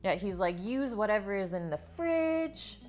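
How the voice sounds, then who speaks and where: A woman's voice: medium pitch, sing-song, unhurried, darkish tone, faint music behind. A woman hosting a live cooking stream, talking in a chatty, informal way to viewers as she works and tells stories. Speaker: cooking livestream host.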